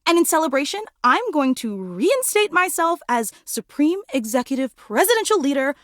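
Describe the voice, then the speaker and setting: A woman's voice: high-pitched, big pitch swings, moderate pace, no echo, studio quality. A woman voicing an obsessive, lovestruck female superfan in a video game, theatrical, needy and gushing. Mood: adoring, gushing. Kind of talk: video game character acting.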